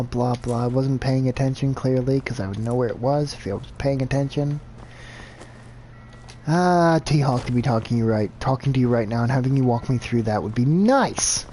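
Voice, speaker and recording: Man, game streamer, casual commentary, self-deprecating, thinks aloud, average pitch. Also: mellow, a little hiss or hum.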